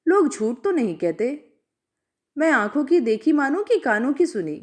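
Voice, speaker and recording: A woman voicing a sharp-tongued ageing village widow in a storybook reading, blunt, probing and rustic in manner. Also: high voice, big pitch swings, normal speed. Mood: softened.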